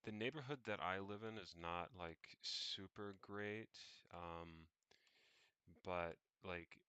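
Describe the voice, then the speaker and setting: A young man narrating what he does on screen, unscripted, in a halting, meandering way as he thinks aloud. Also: low voice, bright, forceful tone, clear, resonant tone, no room sound. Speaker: vlogger.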